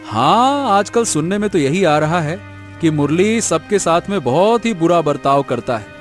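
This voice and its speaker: A man voicing an earnest, sentimental village family man in an animated moral story. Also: a little high, normal speed, faint music behind, close to an everyday voice. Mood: concerned.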